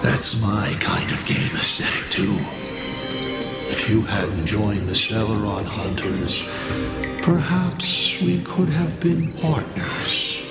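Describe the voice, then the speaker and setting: An actor playing a smug, playful trickster villain in a video game, with a teasing, theatrical delivery. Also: male voice, fairly low pitch, slow, creaky. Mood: mocking. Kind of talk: dramatic video game voice acting. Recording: echoey, with loud music. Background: loud game music.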